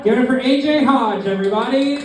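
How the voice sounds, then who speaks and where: Emcee, man with a high voice, rallying the crowd, distant and roomy with a crowd behind him. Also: quick pace. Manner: coaxing the crowd, announcing. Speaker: open-mic host. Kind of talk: stage introduction. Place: small comedy club, in front of a live crowd. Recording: very echoey club, distant mic, crowd.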